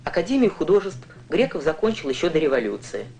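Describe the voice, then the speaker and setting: Animated narrator for a kids science newsreel, storytelling style, loud music behind. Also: medium pitch, fast, gravelly. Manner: storytelling, addresses young viewers with questions. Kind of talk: scripted educational documentary narration. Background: prominent music.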